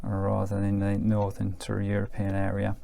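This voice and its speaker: A British man with a fairly low voice and a gravelly fry, talking informally as he demonstrates something hands-on. He keeps it low-key and explanatory, with some noise behind him. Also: monotone, moderate pace, dark tone. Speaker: vintage radio reviewer.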